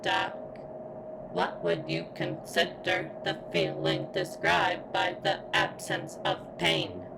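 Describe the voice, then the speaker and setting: Woman acting as female robot, heavy vocal fry, slow halting pained speech, devoted and earnest, ASMR roleplay. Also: very deep voice, sing-song, noisy background, heavily acted. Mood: wistful, aching. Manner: halting, deliberate delivery as if in constant pain.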